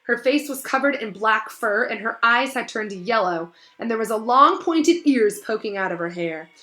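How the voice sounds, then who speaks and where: A woman reading a storybook aloud as narrator, expressive, with casual asides to her listeners. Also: a little high, normal speed.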